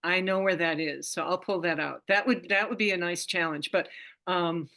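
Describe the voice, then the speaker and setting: A woman's voice: lowish voice, normal speed, pushed and bright. An older woman speaking on a video call from home as a guest presenter, chatty and rambling, often restarting her sentences.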